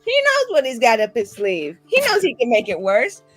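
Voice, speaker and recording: A woman's voice: fairly high pitch, wildly swooping pitch, normal speed, fairly bright tone, faint music behind. A woman chatting casually as a tabletop game player, playful and jokey in her delivery. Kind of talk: casual chat.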